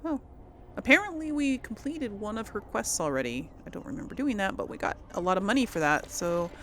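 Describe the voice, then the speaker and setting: A woman's voice: medium pitch, animated, brisk, a bit bright. Female gameplay host, informal think-aloud commentary, mid-range voice, audible background noise. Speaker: gaming video host. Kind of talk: casual game commentary.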